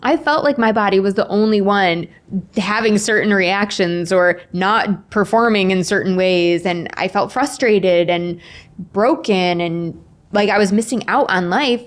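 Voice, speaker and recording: A woman's voice: mid-range voice, unhurried. A woman in her forties, a guest author on a podcast, casually telling personal stories and acting out the dialogue. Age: 40s. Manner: animated, acts out quoted dialogue. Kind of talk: casual anecdotal storytelling. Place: indoors, in-person podcast recording.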